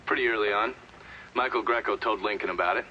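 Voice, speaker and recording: A man's voice: fairly low pitch, quick pace, close to an everyday voice. A man playing a witness giving testimony in a game cutscene, candid, explanatory and matter-of-fact. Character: candid witness testifying about a crime war. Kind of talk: testimony in cinematic game acting. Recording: dull, band-limited sound, faint noise.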